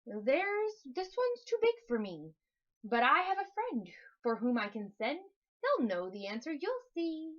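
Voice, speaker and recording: A woman voicing a polite, fussy little gentleman fibber who coaxes smoothly in a children's storybook. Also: high-pitched, sing-song, unhurried, highs cut off, exaggerated voice. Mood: flustered, reassuring. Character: prim little gentleman fibber, male, smooth-talking and persuasive.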